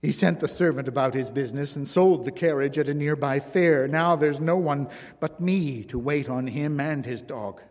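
A man's voice: on the high side, normal speed, darkish tone, lightly acted. A man voicing an exhausted, desperate former circus woman who pleads through tears. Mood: pleading. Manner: pleading, tearful. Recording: long reverb.

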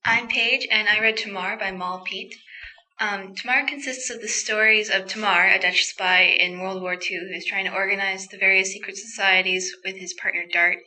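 A woman's voice: mid-range voice, fairly even intonation, normal speed, bright, forceful tone, poor audio. A teenage girl giving a short, semi-prepared book review. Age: teen.

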